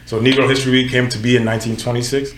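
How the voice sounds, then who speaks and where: A man's voice: medium pitch, level tone, rapid-fire, roomy sound, a little hiss or hum. A man in his twenties, from Nigeria, hosting a casual group podcast and talking things through in a conversational way with frequent self-corrections. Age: 20s. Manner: conversational, self-correcting, lots of "you know what I'm saying". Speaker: podcast host.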